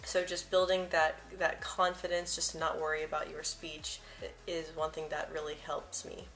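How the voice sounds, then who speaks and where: A woman's voice: deep voice, monotone, moderate pace, fairly bright tone. A young woman vlogger who stutters, often repeating words and first sounds as she talks to camera and shares personal tips.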